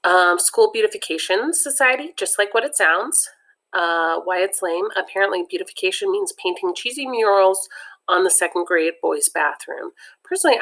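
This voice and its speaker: A woman's voice: mid-range voice, vocal fry, fairly bright tone. A woman reading a children's comic book aloud, breaking off now and then to add her own chatty comments. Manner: chatty, adds personal comments between passages. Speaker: read-aloud narrator.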